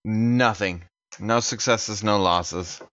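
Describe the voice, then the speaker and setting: A man's voice: on the low side, takes it slowly, lo-fi sound, noisy background. A man chatting casually as a player in a tabletop roleplaying game.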